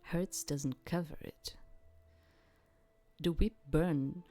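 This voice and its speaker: A woman's voice: sits low, extremely slow, warm tone, soft background music, heavily acted. A woman voicing a bitter, wounded, defiant young female captive in a terse, brooding visual novel monologue.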